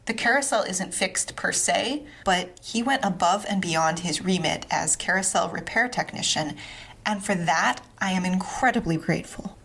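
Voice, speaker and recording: Casting: actress for courteous, formal, quirky female doctor in comic fiction podcast, husky, deeper register. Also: moderate pace, exaggerated voice. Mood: grateful. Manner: formal, polite, deadpan. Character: eccentric, courteous woman doctor on the telephone. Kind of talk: comic audio fiction monologue.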